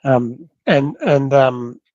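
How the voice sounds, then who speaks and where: A podcast host in a casual interview, asking halting, conversational questions. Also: medium pitch, a little mellow, no echo, dull audio. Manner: halting questions, frequent short acknowledgements.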